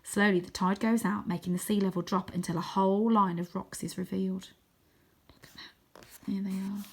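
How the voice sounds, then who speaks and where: A woman narrating a children's adventure book in an expressive, dramatic way, sometimes hushed for suspense. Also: medium pitch, moderate pace, slightly rough. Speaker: story reader.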